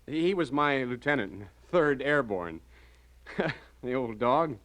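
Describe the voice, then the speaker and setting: Nervous, stammering husband. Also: male voice, medium pitch, expressive, slow pace, a bit bright. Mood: forced cheer. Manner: stammering, eager, over-hearty. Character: nervous married man from Arkansas, hiding trouble. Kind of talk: mid-century radio crime drama acting.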